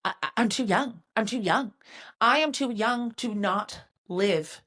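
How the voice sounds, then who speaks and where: A woman's voice: a little high, a little slow, a bit bright. A woman in her forties hosting a solo motivational podcast, candid and emphatic, often asking "right?" and swearing casually. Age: 40s. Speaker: podcast host and business mentor.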